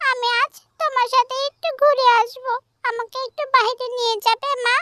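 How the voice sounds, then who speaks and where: A performer voicing a naive, stubborn little goat kid in a children's cartoon, squeaky and childlike, with a wheedling manner. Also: fairly even intonation, moderate pace, bright, forceful tone, faint music behind. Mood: pleading. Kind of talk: children's animated story dialogue.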